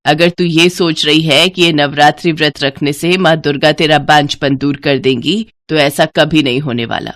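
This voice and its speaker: Woman playing a harsh, low-voiced mother-in-law. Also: steady tone, normal speed, hardly any pauses, fairly bright tone. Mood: scornful. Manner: harsh, taunting. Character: bitter, scolding older mother-in-law, cruel and scornful.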